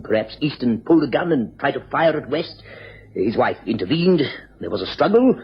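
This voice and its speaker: An actor playing a practical, affable former police detective in a radio drama, with an English accent, a husky voice and a matter-of-fact manner. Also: male voice, mid-range voice, very animated, moderate pace, heavily acted. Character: former Scotland Yard man, practical, affable investigator. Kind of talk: 1950s-60s radio drama acting. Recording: dull old radio recording.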